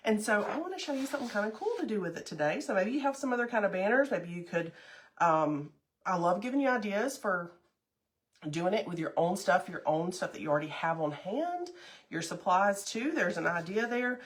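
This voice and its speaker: A chatty middle-aged woman, her voice stuffy from a cold. She talks in a bubbly, casual way and greets the people watching by name. Her pitch sits in a middle range for a woman. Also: sing-song, a little fast. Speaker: craft livestream host. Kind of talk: casual livestream chat with viewers.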